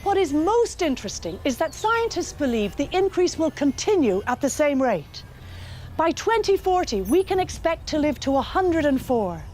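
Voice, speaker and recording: A woman presenting a documentary, narrating in a clear, measured, guiding way. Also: high-pitched, big pitch swings, a little mellow. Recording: music bed under narration.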